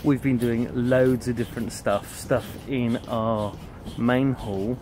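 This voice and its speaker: A man's voice: medium pitch, steady tone, slow. A man in his thirties talking casually to camera as a camp vlogger, outdoors on a windy campsite. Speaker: vlogger and youth camp leader. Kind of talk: casual chatty vlog narration. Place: outdoors on a campsite, under a tent awning. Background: wind and outdoor ambience.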